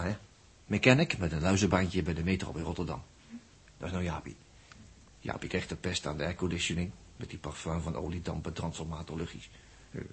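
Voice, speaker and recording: A self-mocking male mechanic aboard a spaceship, with a low voice. He muses aloud in loose, everyday phrases and little interjections, in a 1960s radio play with slight background noise. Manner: colloquial, halting, musing aloud with repeated little interjections. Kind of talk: 1960s radio drama acting. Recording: noisy old 1960s radio drama recording.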